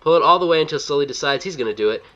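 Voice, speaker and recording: A man's voice: fairly high pitch, lively intonation, very fast, bright, forceful tone. A man giving casual, scripted video game walkthrough commentary in a blunt, wry manner, on a slightly dull recording.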